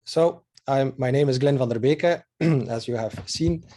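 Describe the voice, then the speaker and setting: A man's voice: medium pitch, unhurried, mellow. A man in his thirties from Belgium, a native Dutch speaker with a Belgian Dutch accent, giving an online presentation as a photographer in a hesitant, earnest way with many filler sounds. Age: 30s.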